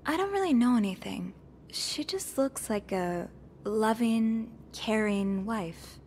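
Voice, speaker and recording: An actress playing a curious, evasive young hotel maid in a mystery game, speaking in an earnest, hesitant way. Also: female voice, a little high, expressive, slow pace, a little mellow, a little hiss or hum. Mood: evasive, nervous. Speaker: video game character. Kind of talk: narrative mystery game voice acting.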